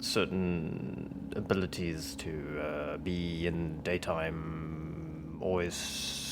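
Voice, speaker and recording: A man's voice: rumbling low, little pitch movement, extremely slow, noisy background. A man chatting casually as a tabletop game player, with a dry, playful way of talking. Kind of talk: casual chat among friends. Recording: faint music behind the voice. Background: faint music.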